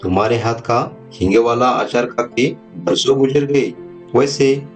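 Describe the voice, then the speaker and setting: A man's voice: medium pitch, a little slow, lightly acted. A man voicing a poor, plain-spoken village husband, a tired labourer, in a moral story. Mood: tired, hopeful. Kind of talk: acted dialogue in a Hindi moral story. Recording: music bed behind the voice.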